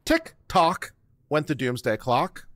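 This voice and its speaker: A middle-aged man giving a theatrical, deliberate dramatic reading of a written text into a close microphone. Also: a little high, wildly swooping pitch, fairly bright tone, no room sound. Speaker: online show host. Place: small home studio room. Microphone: close microphone on boom arm.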